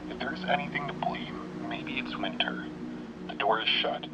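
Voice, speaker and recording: A man voicing a listless, lonely writer of bleak notes in a hushed, breathy near-whisper. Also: medium pitch, normal speed, voice pushed up, close to an everyday voice. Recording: muffled lo-fi, noisy background.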